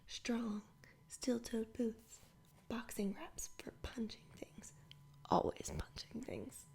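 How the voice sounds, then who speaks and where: A woman reading a children's adventure story aloud entirely in a soft whisper, in ASMR style. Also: on the high side, wildly swooping pitch, slow, vocal fry, breathy edge. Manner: whispers throughout. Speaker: storybook reader.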